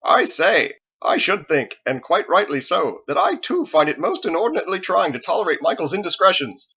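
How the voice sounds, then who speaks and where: A man's voice: high-pitched, big pitch swings, brisk, pushed and bright, breathy edge, dull audio, voice pushed up, exaggerated voice. A man voicing a mocking joker in an audiobook who parodies pompous speech with exaggerated, gushing, over-formal phrasing. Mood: mock outrage. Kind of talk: audiobook character voice.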